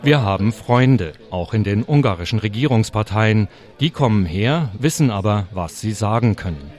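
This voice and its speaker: A man reading translated interview answers as a voice-over, in a plain, steady way. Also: fairly low pitch, moderate pace.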